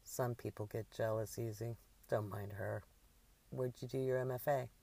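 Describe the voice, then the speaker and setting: A woman voicing a friendly, easygoing older man with a soft manner in an audiobook story. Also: rumbling low, steady tone, moderate pace, creaky, recorded from a distance, full character voice.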